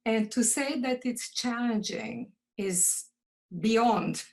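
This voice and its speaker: Slow careful older woman. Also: on the high side, vocal fry. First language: Croatian. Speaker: poet guest. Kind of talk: video call conversation. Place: home office.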